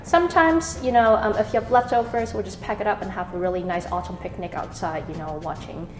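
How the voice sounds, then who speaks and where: A woman's voice: mid-range voice, brisk, over music. Chatty woman from Canada, roomy sound. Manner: friendly, chatty, many fillers. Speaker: radio co-host. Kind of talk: casual radio chat.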